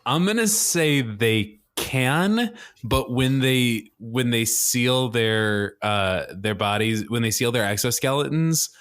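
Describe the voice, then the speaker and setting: A man's voice: medium pitch, animated, takes it slowly, fairly bright tone. A man playing a tabletop game, improvising casually as he describes what his character does.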